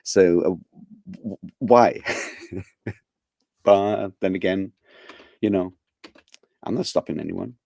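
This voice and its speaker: Low mellow guy rambling at his stream, lots of ums, dead dry sound. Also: takes it slowly, vocal fry, pauses often, no room sound. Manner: rambling, many fillers and restarts; chuckles often. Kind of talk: casual chat to live viewers.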